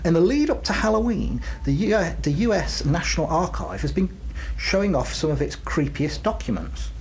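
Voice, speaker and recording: A man's voice: fairly high pitch, sing-song, normal speed, a little hiss or hum. A man co-hosting a radio show and reading news items in a studio. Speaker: radio co-host.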